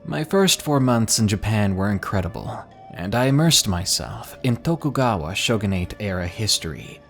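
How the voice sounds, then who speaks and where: A man reading a ghost story aloud in a measured storytelling delivery. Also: on the low side. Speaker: narrator. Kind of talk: scripted horror story narration.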